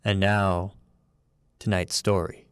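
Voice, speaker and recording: Very deep-voiced male story narrator. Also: flat delivery, slow pace, clear, resonant tone, no room sound. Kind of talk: scripted radio drama narration.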